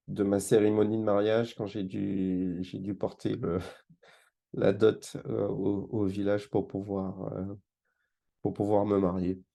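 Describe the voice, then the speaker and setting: A man's voice: fairly low pitch, creaky, dark tone. A man from Martinique giving an informal conference presentation as a guest speaker, explaining in a hesitant way with repeated words. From Martinique, France. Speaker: engagement manager, guest speaker.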